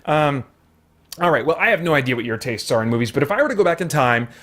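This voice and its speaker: A man's voice: mid-range voice, lively intonation, fast, a bit bright. A man giving a casual movie review to viewers, talking in an earnest, conversational way as he thinks aloud. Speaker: movie reviewer and channel host.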